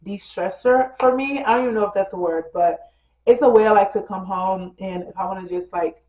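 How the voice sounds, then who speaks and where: A woman's voice: mid-range voice, brisk. A woman vlogger giving a casual tutorial, chatty and full of fillers, a bit congested from a cold. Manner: addresses viewers as "girl" and "you guys". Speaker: lifestyle vlogger. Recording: dull roomy recording with soft music.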